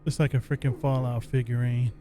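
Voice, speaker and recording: A man's voice: mid-range voice, flat delivery, fairly quick, background noise. A man giving casual, laid-back gameplay commentary, musing to himself as he plays. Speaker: let's play gamer commentating.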